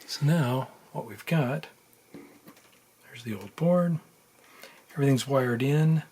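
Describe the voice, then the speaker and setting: Low-key man talking through a repair, noisy recording. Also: slow, warm tone. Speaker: electronics hobbyist demonstrating a repair. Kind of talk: casual unscripted how-to narration while working. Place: indoors at a workbench. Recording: noisy room with handling clicks.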